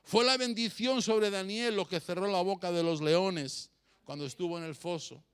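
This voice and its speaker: Male pastor, high smooth voice, emphatic sermon delivery with deliberate repetition. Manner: emphatic, repeats phrases for effect; rhetorical questions addressed to listeners. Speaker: pastor preaching to a congregation.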